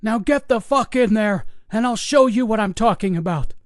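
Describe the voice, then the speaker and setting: A frantic old security guard, put on by a man, yelling and cursing at a raised, strained pitch. His voice jumps high and sounds stretched thin with fear. It is recorded dry and close. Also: expressive, moderate pace, no echo. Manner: frantic, shouting, swearing.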